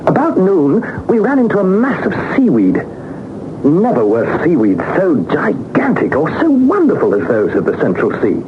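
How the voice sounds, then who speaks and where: An earnest young explorer in an old radio serial. His fairly high, lively voice tells of the voyage in measured, dramatic phrases through a thin, noisy recording. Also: lo-fi sound. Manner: measured, literary, dramatic storytelling. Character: earnest young nephew narrating his expedition log. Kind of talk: 1960s radio drama narration.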